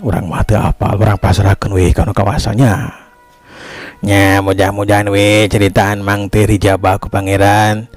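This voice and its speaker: A man's voice: lowish voice, gravelly, a little mellow. Man playing an earnest, humble Sundanese villager. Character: ailing village man, amazed, grateful and piously resigned.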